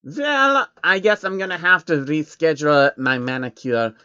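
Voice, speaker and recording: A man's voice: a little high, moderate pace, bright, forceful tone, smooth, no echo, muffled recording, voice pushed up. A man voicing a smug, fussy, pompous rich baron in a storybook reading. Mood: haughty. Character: smug scheming rich male banker baron, fussy and haughty.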